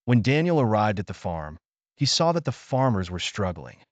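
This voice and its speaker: A man narrating a scripted bedtime story for children in a measured, expressive manner. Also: fairly low pitch, no room sound, highs cut off.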